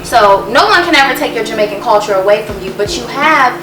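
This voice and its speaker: A woman co-hosting an interview, asking quick, inquisitive questions. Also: on the high side, animated. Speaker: co-host. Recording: echoey room, distant mic, music.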